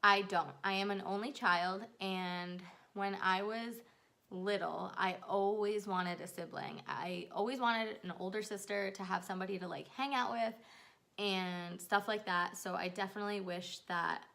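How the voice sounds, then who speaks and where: A woman's voice: medium pitch, steady tone, normal speed, vocal fry, sharp tone. Chatty young woman vlogger. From New York. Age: 20s. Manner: chatty, rambling, with fillers. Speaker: YouTuber. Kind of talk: casual get-to-know-me vlog.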